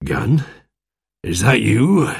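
A sly and bold pirate captain from a storybook, as a man reads him. He sounds hearty and husky, with some gravel in the voice and a very dry recording. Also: mid-range voice, sing-song, extremely slow, no room sound, full character voice. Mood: grateful, surprised.

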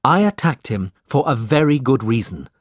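A man's voice: mid-range voice, expressive, normal speed, no room sound, clean studio sound, natural voice. A man voicing a brave, protective young man in a storybook, speaking earnestly and with defiance. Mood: indignant.

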